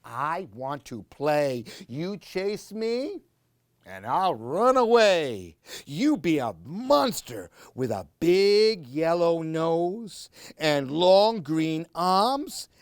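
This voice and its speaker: A woman's voice: fairly low pitch, sing-song, extremely slow, no echo, clean studio sound, pitched above the performer's own voice, heavily acted. A woman reading aloud as a playful three-year-old boy, chanting bouncy rhymes. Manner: sing-song, bouncy rhymes. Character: playful little boy pretending, aged three.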